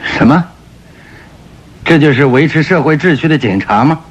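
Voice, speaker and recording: Proud, expressive French marquis, swinging pitch. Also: male voice, moderate pace, husky, lo-fi sound. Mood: indignant, angry. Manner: expressive, theatrical delivery with exclamations. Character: proud middle-aged French marquis, doting father, easily offended. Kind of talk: Mandarin radio drama acting.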